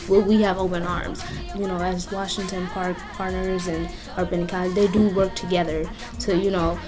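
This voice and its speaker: A woman from Kenya speaking candidly in an interview, often using fillers like "you know" and "like.". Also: mid-range voice. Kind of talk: personal interview narrative. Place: community youth center. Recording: music bed under interview. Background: music playing underneath.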